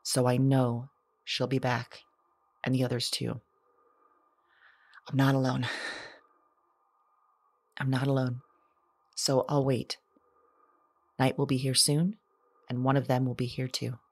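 A lonely, haunted woman played by an actress confides in an audio drama journal. She speaks slowly and haltingly, close to the mic in a very dry space, hesitant and reflective. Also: rumbling low, level tone, a little mellow, slightly rough, dry recording, heavily acted. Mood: anxious, hopeful. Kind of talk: intimate confessional audio drama monologue.

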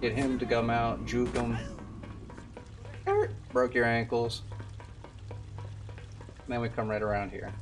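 A man's voice: medium pitch, takes it slowly, pauses often. A man in his twenties giving casual live commentary as he streams a game run. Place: indoor room at a streaming desk. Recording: distant mic, game noise behind. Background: game sound and music.

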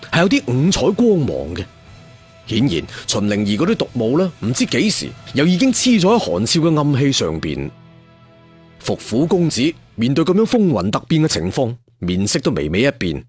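A man telling a martial arts story in an animated, conversational, dramatic way. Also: mid-range voice, breathy edge. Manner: animated, conversational, with spoken sound effects.

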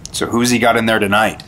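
Man playing a bright-voiced, dogged rookie agent. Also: lowish voice. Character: earnest, dogged rookie FBI agent, 1950s. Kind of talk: radio drama acting.

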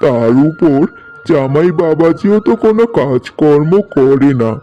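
A man's voice: fairly high pitch, animated, a little slow, warm tone, lo-fi sound. A man voicing an earnest, protective middle-aged village father in a cartoon story. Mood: concerned. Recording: music bed under the voice.

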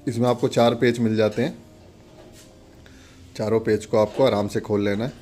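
A man with a fairly low voice talks through a hands-on demonstration as host. His delivery is casual and instructional, explaining step by step and repeating small pointers. Music plays along with his voice. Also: steady tone, moderate pace, dark tone. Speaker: product demonstrator and channel host. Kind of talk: casual step-by-step demo narration.

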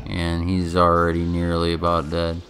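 A man's voice: low voice, completely flat delivery, moderate pace. A man giving casual, offhand, rambling commentary on a video game. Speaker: gameplay commentator.

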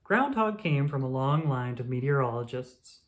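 Someone reading a kids picture book out loud, expressive storyteller, medium pitch. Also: moderate pace. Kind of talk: children's story read-aloud.

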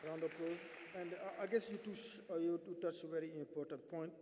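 A man from Senegal moderating a conference panel from a podium in a large hall, emphatic and halting, with many fillers. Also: on the high side, fairly even intonation, a crowd in the background, noisy background. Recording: hall reverb.

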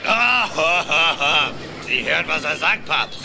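A man playing a crooked dockside salvager in a cartoon audio play, gruff, mocking and bossy, with a gravelly voice. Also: fairly high pitch, expressive, extremely slow, crowd noise, background noise, full character voice. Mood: mocking, smug. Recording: music behind the voice.

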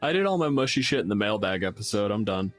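A man's voice: fairly low pitch, very animated, fast, soft background music. A man co-hosting a podcast in a casual, chatty style about tabletop games. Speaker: podcast co-host.

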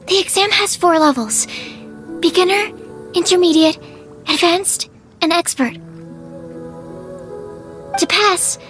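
A woman voicing an earnest, polite, bookish young alchemist in a video game, explaining things carefully and precisely. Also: extremely high pitch. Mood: encouraging. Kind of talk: video game dialogue acting.

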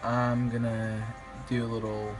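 A slightly raspy young man, a bit sick, commentating his online game battle in a rambling, hesitant way with many ums. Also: mid-range voice, completely flat delivery, a little slow. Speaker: gaming YouTuber. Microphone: phone filming a screen. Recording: music playing behind.

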